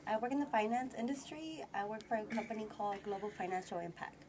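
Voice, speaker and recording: A woman's voice: medium pitch, fast, far from the mic, noisy background. A woman in her thirties, a podcast guest, introducing herself plainly and a little hesitantly. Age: 30s. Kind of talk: casual self-introduction.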